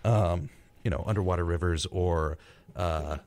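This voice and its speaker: A man's voice: deep voice, unhurried, a little mellow. A man co-hosting a live radio show in a casual, chatty, rambling way, tossing in wry reactions, close on a studio microphone.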